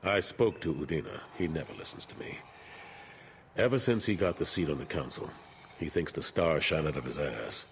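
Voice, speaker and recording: A man playing a seasoned, frank military admiral in a video game, with a husky, measured voice. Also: a bass voice, lively intonation, slight background noise. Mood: dry, grumbling. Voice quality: husky, rough-edged.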